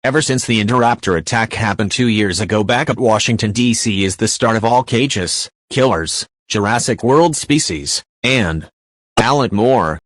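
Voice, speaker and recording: A man's voice: lowish voice, normal speed, fairly bright tone, dry recording. A man playing a solemn TV news anchor, reading a scripted breaking news report. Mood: grave, reporting.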